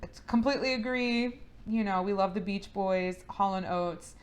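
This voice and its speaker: A woman co-hosting a podcast, reading a listener email and answering it in a relaxed, soft way. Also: mid-range voice, moderate pace. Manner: relaxed, soft-spoken, trails off quietly. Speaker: podcast co-host. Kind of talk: casual chat, reading listener mail.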